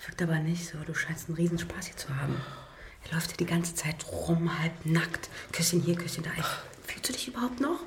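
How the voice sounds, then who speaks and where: A woman's voice: on the low side, lively intonation, brisk, in a whisper. A woman playing a jealous, controlling girlfriend in a naturalistic audio drama, accusatory and bitingly sarcastic. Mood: bitter, accusing.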